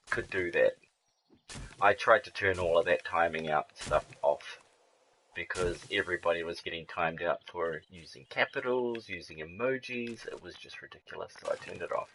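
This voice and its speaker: A man with a very low, deep voice streams a game live and keeps up a loose running commentary. He swears constantly, laughs a lot and drifts into rambling jokes, with a faint hiss of noise under the recording. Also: pushed and bright, low-quality recording. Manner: swears freely, laughs often, rambling jokey asides.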